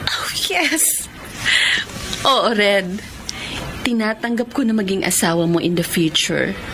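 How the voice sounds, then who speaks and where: A woman's voice: mid-range voice, takes it slowly, background noise. A woman playing a sweet, trusting young girlfriend and niece in a radio romance drama, with a Filipino accent. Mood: happy, tender.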